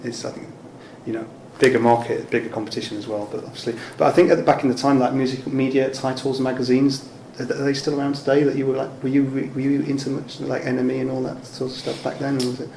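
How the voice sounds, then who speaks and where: Flat-toned male interviewer in an echoey room. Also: medium pitch, fast, few pauses. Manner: tentative, loosely phrased questions, agreeable. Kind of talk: casual conversational interview. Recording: echoey room with background noise.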